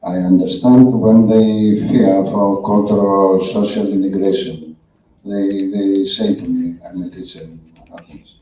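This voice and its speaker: A man from Greece with a Greek accent, asking a halting question from the audience at a conference, recorded from far off in a hall. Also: low-pitched, steady tone, dark tone, slight background noise. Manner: halting, many fillers and restarts. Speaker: audience member. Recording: roomy hall, far from the mic, dull.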